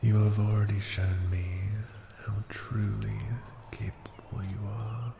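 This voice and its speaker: An ancient dragon played by a man murmurs in a low, gravelly, breathy voice, often sinking to a whisper. He is seductive and possessive, addressing a mortal with condescending tenderness in an intimate fantasy roleplay. The sound is roomy, with echo around the words. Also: flat delivery, music in the background. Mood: reassuring, possessive. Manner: slow, deliberate, teasing endearments. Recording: roomy echo, noisy ambience.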